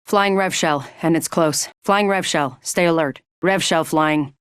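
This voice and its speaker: An actress playing an alert, businesslike legend in a video game, calling out sightings. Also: female voice, sits low, a little slow, clear, resonant tone, dry recording, clean studio sound.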